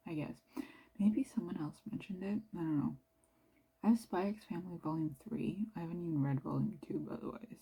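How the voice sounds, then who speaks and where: A young Canadian woman with a low-ish voice, chatting quietly and rambling about her reading, with plenty of "like". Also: husky, poor audio. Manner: talking quietly, near-whispered; rambling, filler-heavy with "like". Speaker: book vlogger. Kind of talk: casual chat.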